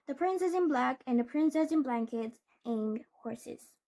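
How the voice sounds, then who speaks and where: A woman reading a children's storybook aloud in a deliberate way, with occasional stumbles. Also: high-pitched, animated, warm tone. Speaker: storybook reader.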